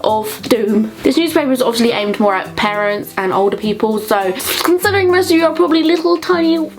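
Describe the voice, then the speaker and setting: A woman's voice: a little high, animated, a little fast, low-quality recording. A young adult woman with a southern English accent, chatting to viewers as a comedic vlogger with playful, sarcastic asides and mild swearing.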